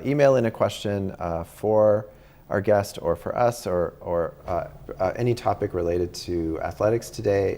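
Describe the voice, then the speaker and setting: Deep gravelly-voiced man hosting. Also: a little mellow. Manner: friendly, halting with many ums. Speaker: host. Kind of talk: casual interview chat.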